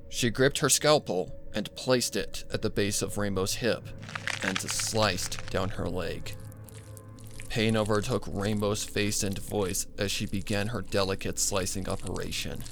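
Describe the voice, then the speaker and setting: A man narrating a scripted horror story in a grave, measured, dramatic way. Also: on the low side, fairly even intonation, vocal fry. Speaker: fan fiction narrator. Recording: music bed behind the voice. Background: music.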